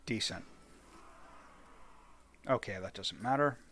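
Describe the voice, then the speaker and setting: A man's voice: on the low side, takes it slowly, sharp tone, breathy edge. A man giving casual let's play commentary, thinking aloud and deliberating as he hosts a gaming video.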